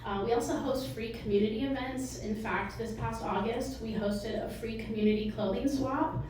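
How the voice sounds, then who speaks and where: Soft-spoken woman in an echoey hall. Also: on the high side, steady tone, moderate pace, far from the mic, slight background noise. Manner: soft-spoken, nervous little laughs, frequent ums. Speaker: museum director giving a pitch. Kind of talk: live fundraising presentation. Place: meeting hall with audience.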